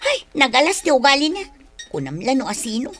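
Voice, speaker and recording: A sulky young Ilocano-accented woman in a radio drama. Her high voice swings widely as she complains and gossips, with clear music underneath. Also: very animated, a little fast, fairly bright tone. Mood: gossipy, aggrieved. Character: sulky young woman who badmouths her older sister.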